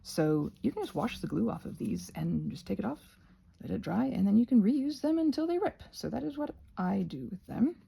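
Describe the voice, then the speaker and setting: Gravelly, husky woman rambling through a tutorial. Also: medium pitch, big pitch swings, normal speed, dark tone. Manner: playful, self-deprecating asides. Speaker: craft tutorial host. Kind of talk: casual rambling craft tutorial.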